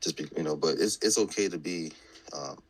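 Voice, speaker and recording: A man's voice: a bass voice, fairly quick, husky. A man speaking hesitantly with many fillers in a group discussion. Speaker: discussion participant.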